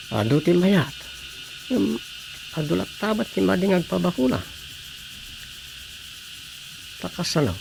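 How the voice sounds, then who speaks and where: Gravelly ilocano husband, radio drama comedy, chatty and opinionated, bit of background noise. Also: male voice, fairly high pitch, exaggerated voice. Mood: musing.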